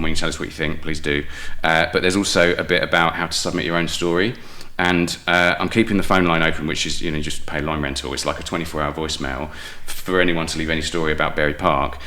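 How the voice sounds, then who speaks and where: A man's voice: deep voice, sharp tone. A middle-aged man, explaining things chattily as a guest in a casual interview. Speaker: guest, playwright and project founder.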